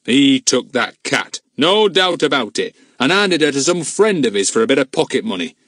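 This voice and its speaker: A man's voice: medium pitch, big pitch swings, normal speed, fairly bright tone, dry recording, clean studio sound, pitched above the performer's own voice. A man voicing a spiteful, self-important older head gardener who speaks fiercely. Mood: fierce gloating. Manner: fierce, gloating.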